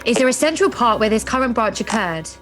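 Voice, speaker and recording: A woman playing a composed, commanding ad agency executive in an audio drama, speaking deliberately. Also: fairly high pitch, expressive, a bit bright, soft background music. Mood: resolute.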